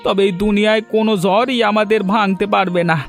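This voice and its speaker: A man voicing a grateful, hopeful village husband in a cartoon, talking softly. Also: high voice, steady tone, fast talker, soft background music.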